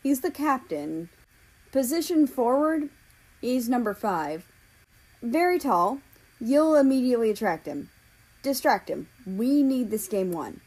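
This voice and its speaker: A woman's voice: fairly high pitch, big pitch swings, measured pace, darkish tone, pitched above the performer's own voice, poor audio. A woman voicing a cold, curt, scheming teenage boy, an ex-boyfriend, in a story reading.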